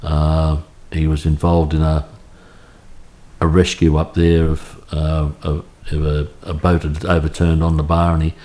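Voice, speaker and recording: An Australian man in his fifties reminisces about his childhood, his low voice staying flat and even. His tone is dark and mellow. He speaks haltingly, circling back and restarting his sentences. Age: 50s. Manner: halting, anecdotal, many restarts. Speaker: oral history interviewee. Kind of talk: reminiscing in an interview. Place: home in Cremorne, Sydney.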